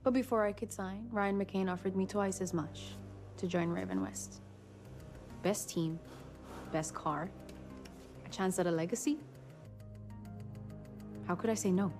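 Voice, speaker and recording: A woman's voice: mid-range voice, unhurried, long pauses, a little mellow, soft background music, lightly acted. A woman playing a composed, ambitious young racing driver, speaking matter-of-factly as in a documentary interview. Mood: confident. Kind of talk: mock documentary interview acting.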